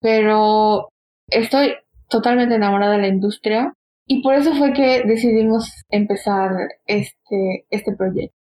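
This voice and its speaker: A woman, co-hosting a podcast and telling her own story in a steady, personal way. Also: medium pitch, measured pace, low-quality recording. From Mexico. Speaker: podcast co-host. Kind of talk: casual podcast talk.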